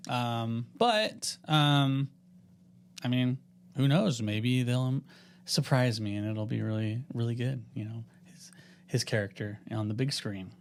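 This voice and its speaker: A man's voice: medium pitch, unhurried, a little hiss or hum. A man co-hosting a podcast in casual chat, with a hesitant, noncommittal way of putting things. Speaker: podcast co-host.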